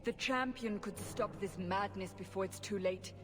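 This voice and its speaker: A woman's voice: mid-range voice, normal speed, lightly acted. Stern, insistent holy investigator played by a woman, fantasy game, formal and earnest, long reverb with music behind. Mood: urgent pleading. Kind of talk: video game dramatic dialogue.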